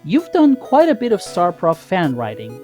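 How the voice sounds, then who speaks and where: Mellow, dark-toned man discussing on a podcast. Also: sits high, huge pitch swings, normal speed, soft background music. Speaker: podcast co-host. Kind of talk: casual analytical discussion.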